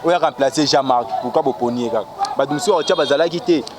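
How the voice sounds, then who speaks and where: A man's voice: mid-range voice, rapid-fire. Probing man on a noisy street. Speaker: street interviewer. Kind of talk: challenging questions. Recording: outdoor street noise.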